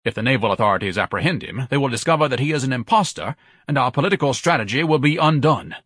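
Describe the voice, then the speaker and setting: A man's voice: medium pitch, sing-song, a little fast, a bit bright, slightly rough, no room sound, clean studio sound. A man voicing a pompous, bombastic scholar with a solemn, orator-like way of talking and florid diction, in a storybook narration. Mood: alarmed. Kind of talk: audiobook character acting.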